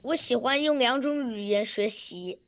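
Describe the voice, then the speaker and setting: Speaker reading a prepared statement, slightly high, dry, short recited lines. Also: female voice, moderate pace, a bit bright, no room sound. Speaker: student public speaker.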